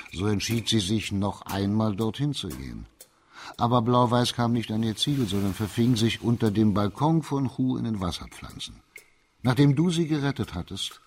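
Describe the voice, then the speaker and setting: An actor in a German radio play playing a shrewd, composed magistrate of old China who explains things deliberately and with authority. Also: male voice, lowish voice, a little hiss or hum. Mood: deliberate, certain.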